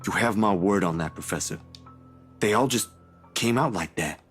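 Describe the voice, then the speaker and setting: A man's voice: lowish voice, normal speed, soft background music, natural voice. A man voicing an earnest young male reporter who asks polite questions.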